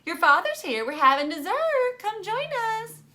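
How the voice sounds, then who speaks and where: A woman as a high, calling older neighbor lady. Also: very animated, normal speed, sharp tone, exaggerated voice. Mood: inviting. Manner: sociable, coaxing calls.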